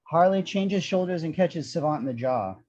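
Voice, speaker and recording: A man's voice: a little high, animated, normal speed, a little mellow. A man reading screenplay action descriptions with a wry manner, on a dull lo-fi microphone. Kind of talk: scripted screenplay narration.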